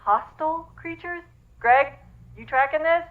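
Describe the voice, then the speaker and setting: High-voiced bossy space captain. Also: female voice, a little slow, narrow, tinny audio. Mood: commanding. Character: bossy, easily deflated female space captain. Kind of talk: sci-fi comedy audio drama acting.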